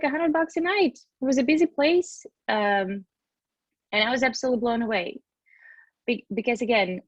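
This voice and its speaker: A woman's voice: medium pitch, no room sound, lo-fi sound. Russian-accented woman, close dry sound. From Western Siberia, Russia. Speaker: podcast guest. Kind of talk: casual conversational storytelling.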